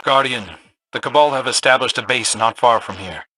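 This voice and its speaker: An actor playing a blunt, combative military field operative in a game, terse and wry. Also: male voice, medium pitch, normal speed, background noise, lightly acted. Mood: wry, resolute. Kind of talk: scripted game voice acting.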